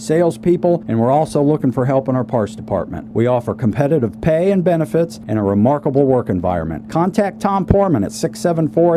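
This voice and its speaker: American man reading a radio ad over hum. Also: mid-range voice. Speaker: business spokesman in advertisement. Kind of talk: scripted radio recruitment ad. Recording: radio spot with clear background hum.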